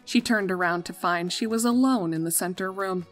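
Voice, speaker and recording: A woman reads a scripted story as narrator. Her voice is medium-pitched, with music playing behind it. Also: normal speed.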